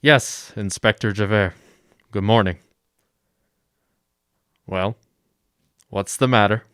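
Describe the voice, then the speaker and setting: An actor playing a composed, guarded mayor in a radio drama, measured and restrained as he questions. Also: on the low side, a bit bright, smooth, dry recording, studio quality. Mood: courteous, puzzled. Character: composed, guarded male mayor hiding his past.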